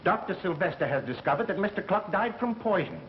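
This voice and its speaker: On a dull, faintly noisy vintage soundtrack, a blustering male boss. He is peremptory and excitable, with a highish voice that leaps around in pitch. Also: fairly quick. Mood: accusing. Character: blustering, accusatory, easily flustered male company boss. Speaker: actor in a film. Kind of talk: 1930s mystery film acting. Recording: muffled, noisy 1930s film soundtrack.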